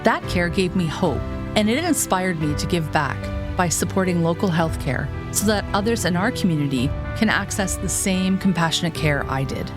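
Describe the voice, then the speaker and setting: A middle-aged woman reading a scripted testimonial appeal in a sincere, measured way. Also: lowish voice. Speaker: charity appeal speaker. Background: music.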